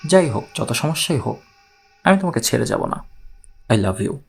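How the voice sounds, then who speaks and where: A man's voice: mid-range voice, very animated, moderate pace, vocal fry, breathy edge, faint music behind, pitched below the performer's own voice, heavily acted. A man voicing a frightened wife who insistently accuses her husband, in an audiobook story. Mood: devoted, stubborn.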